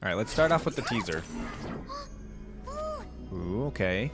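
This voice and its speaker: A man's voice: lowish voice, expressive, a little slow, over loud music. A man hosting a reaction video in casual commentary, enthusiastic and often exclaiming.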